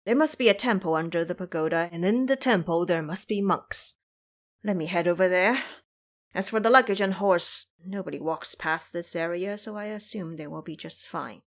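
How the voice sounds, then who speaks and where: A woman's voice: on the low side, normal speed, close to an everyday voice. A woman voicing a pious, naive elder monk in an audio drama, musing aloud earnestly. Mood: hopeful musing.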